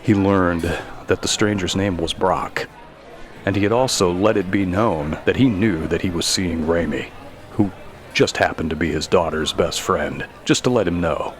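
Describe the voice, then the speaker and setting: A man reading scripted narration for a dark mystery story. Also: low voice, moderate pace. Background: faint music.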